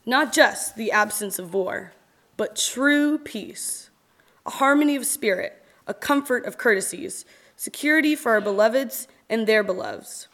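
Female reader, fairly high voice, very slow and measured, reciting scripture and verse solemnly with expressive pauses. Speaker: reader at a church service. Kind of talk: solemn reading of scripture and poetry.

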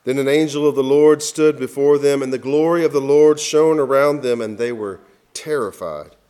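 Man reading scripture, measured, mid-pitched voice. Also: mellow, clean tone. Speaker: scripture reader at a church service.

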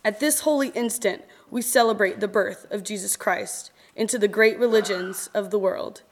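A woman giving a solemn, expressive reading of scripture and poetry in a church service, with measured phrasing and deliberate pauses. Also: mid-range voice.

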